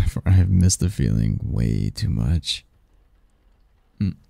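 A man plays a possessive male demon lover in a romantic ASMR roleplay. His voice is low and very mellow, with a gravelly creak. He speaks with teasing tenderness and old-fashioned courtliness. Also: big pitch swings, slow, no echo, heavily acted. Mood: teasing, flirtatious.

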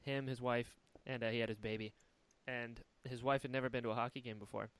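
A young man from North Carolina hosting a podcast, upbeat and quick to laugh, often repeating his words. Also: mid-range voice, level tone, clear, resonant tone. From North Carolina, USA. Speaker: podcast host. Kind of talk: casual podcast chat.

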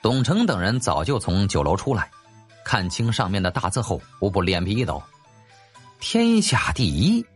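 一位男演播者用戏剧化又略带调侃的口吻讲述历史小说有声书。. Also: male voice, sits low, lively intonation, fairly quick, husky. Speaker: audiobook narrator. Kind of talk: dramatic storytelling narration of a historical novel. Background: faint background music.

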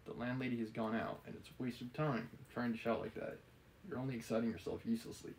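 An obsessive gentleman villain from a novel, read by a man, insistent and menacing as he persuades. The voice is mid-pitched, with clear noise on the recording. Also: little pitch movement, fast talker, lightly acted, low-quality recording. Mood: calm menace. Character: obsessive, menacing gentleman pleading with and cornering a woman. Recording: clearly audible background noise.

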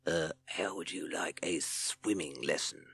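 A woman voicing a sly, lonely storybook snake in a breathy, drawn-out whispered hiss. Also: very deep voice, fairly bright tone, full character voice. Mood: wheedling, lonely. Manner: slow, drawn-out sibilant delivery. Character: hissing sly snake, lonely, softly wheedling.

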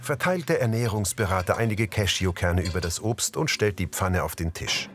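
A man's voice: low voice, expressive, normal speed, background noise. A man reading scripted audio description, narrating the scenes of a drama.

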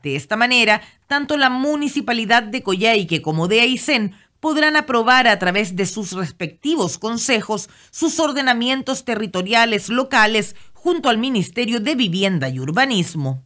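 A Chilean woman narrates a news report from a script. Her voice sits a little high, and she delivers the copy as a reporter's voice-over. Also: animated, normal speed, few pauses, sharp tone.

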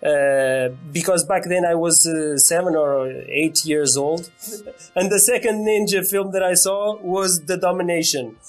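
A fairly high-voiced man from Portugal, a native Portuguese speaker, interviews a guest in English. He speaks eagerly but stumbles, with many fillers and repeated words. Faint music runs underneath. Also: unhurried. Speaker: podcast host. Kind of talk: casual interview.

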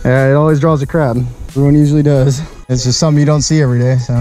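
A man's voice: mid-range voice, steady tone, racing, music in the background. A young man vlogging while unicycling along a wooded trail, chatting casually and playfully to other hikers, sometimes out of breath. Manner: playful, hums and exclaims while riding, out of breath at times. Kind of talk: casual chat while riding. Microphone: helmet or chest action camera.

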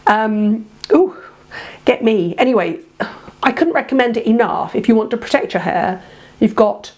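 A woman's voice: on the high side, lively intonation, moderate pace, darkish tone. A woman in her fifties, congested from a head cold, chatting to camera about beauty products at home, gushing with many ums. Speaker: beauty vlogger. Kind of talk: casual to-camera product review. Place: home room, indoors.

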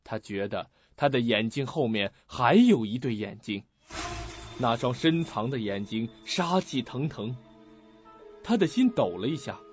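The narrator of a mystery radio drama. His mid-pitched voice moves expressively through the scripted tale, with music clearly behind a slightly dull recording. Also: expressive, a little slow. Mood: suspenseful. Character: male narrator of a suspense mystery radio play. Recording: slightly muffled audio with music.

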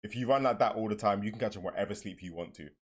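A young man giving casual, slangy, excitable reaction commentary with some swearing, as a streamer at home. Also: on the low side, rapid-fire. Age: young adult. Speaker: reaction video host and streamer. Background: game trailer music and sound.

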